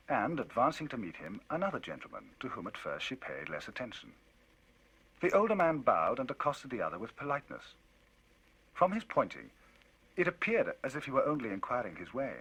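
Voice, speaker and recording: A man giving a dramatic, theatrical reading of a classic novel as the narrator of a vinyl audiobook, his pitch and loudness rising and falling widely. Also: breathy edge, rough recording. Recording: vinyl audiobook with music bed. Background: music.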